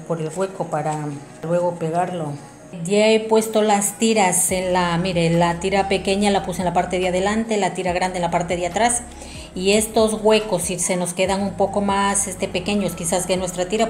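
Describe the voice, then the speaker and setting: A woman's voice: fairly low pitch, fairly even intonation, moderate pace, darkish tone. A woman giving an informal hands-on craft tutorial, explaining each step directly to viewers. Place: small domestic room indoors. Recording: roomy echo, music behind.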